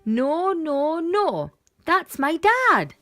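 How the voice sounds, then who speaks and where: A woman's voice: extremely high pitch, big pitch swings, very slow, a bit bright, faint music behind, voice pushed up, full character voice. A woman voicing a small lost monkey child in a children's storybook, protesting emphatically as it searches for its mum. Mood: exasperated, protesting. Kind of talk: children's picture book read-aloud.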